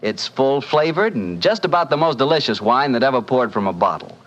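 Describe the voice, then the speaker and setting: An American man works as a 1940s radio announcer and sponsor pitchman. His mid-pitched voice swings with lively intonation, in a folksy, enthusiastic selling style. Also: brisk, highs cut off.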